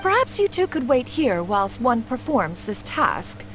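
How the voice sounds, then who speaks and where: A woman's voice: on the high side, big pitch swings, unhurried, a bit bright. An actress voicing an aloof, ancient female crane spirit who speaks in a pompous, archaic way. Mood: musing. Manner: pompous archaic speech, calls herself "one". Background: game music.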